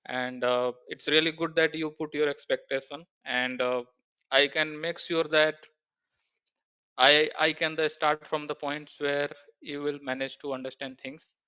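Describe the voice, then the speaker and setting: Bright, clear-voiced man leading a class call. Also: a little high, little pitch movement. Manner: halting, many fillers, hands turns to students. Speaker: SAP trainer. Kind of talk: online class introduction. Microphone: video call. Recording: dull, muffled video call audio.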